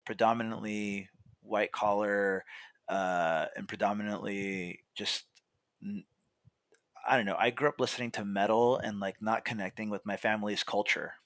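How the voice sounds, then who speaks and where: A man's voice: fairly low pitch, fairly even intonation, normal speed, a bit bright, no room sound. A young American man talking in a chatty, casual storytelling way as a podcast guest, peppering his talk with "like" and "you know". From Monrovia, California. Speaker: podcast guest, coffee roaster.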